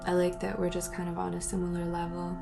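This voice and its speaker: A woman's voice: lowish voice, completely flat delivery, fast, creaky, music in the background. A young woman answering viewer questions in a rambling, casual chat, breaking off mid-sentence and laughing between thoughts.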